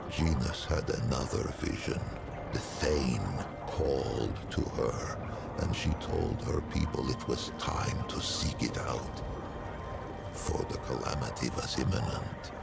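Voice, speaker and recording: An ancient, otherworldly storyteller from a video game, with an extraordinarily low voice. He unfolds old legends slowly and ceremonially, learned and faintly wry, in archaic phrases. Loud music plays behind him. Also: expressive, exaggerated voice. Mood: solemn storytelling. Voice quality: very deep, gravelly. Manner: slow, stately, archaic storytelling with deliberate pauses. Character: ancient, learned non-human storyteller, male, wry and solemn. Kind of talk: scripted video game lore narration. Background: prominent background music.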